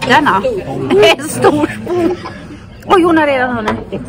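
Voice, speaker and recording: A woman in her thirties vlogging on a phone at arm's length, chatting casually with friends, laughing often and swearing as she tells stories. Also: high-pitched. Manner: laughing, swearing, lively storytelling. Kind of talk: casual vlog chat. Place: breakfast room, shopping mall and parked car. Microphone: phone held at arm's length. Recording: noisy, with crowd chatter behind.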